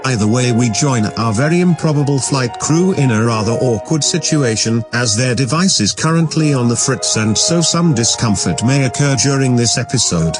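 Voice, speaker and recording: A man's voice: mid-range voice, animated, normal speed, hardly any pauses. Man narrating sci-fi intro over music. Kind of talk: scripted mock-dramatic sci-fi narration. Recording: loud music under the voice.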